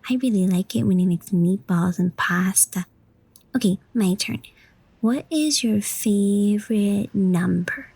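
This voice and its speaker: A woman's voice: mid-range voice, measured pace, vocal fry, a little mellow, husky, dry recording. A woman voicing an eager, curious young schoolgirl in an audio drama. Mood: curious, thoughtful. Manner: eager, childlike. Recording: music under the voice. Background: music.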